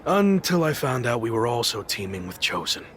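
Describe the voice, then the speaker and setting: A man's voice: mid-range voice, sing-song, normal speed, fairly bright tone. A man playing a reluctant, easily annoyed young pro wrestler in video game cutscene dialogue. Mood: grudging.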